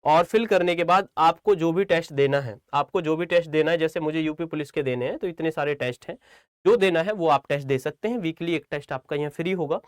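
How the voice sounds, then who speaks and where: A man's voice: a little high, a little fast, dry recording, clean studio sound. A man giving informal instructional talk to students as a coaching teacher, repeating phrases for emphasis and often checking that they follow.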